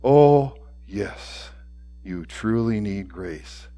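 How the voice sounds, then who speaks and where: A man voicing a gentle, solemn older principal in a story, speaking quietly and deliberately. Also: on the high side, animated. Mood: hushed, knowing. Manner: quiet, slow, deliberate, near whisper at times.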